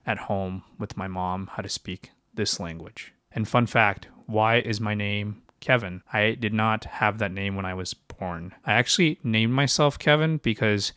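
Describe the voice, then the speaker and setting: A man's voice: lowish voice, unhurried, few pauses, bright, forceful tone, clean tone, dry recording, clean studio sound. A young man in his twenties, born in China, co-hosting a podcast and telling his life story in a hesitant way, with lots of fillers and restarted sentences. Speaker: podcast co-host, physician. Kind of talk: casual podcast chat.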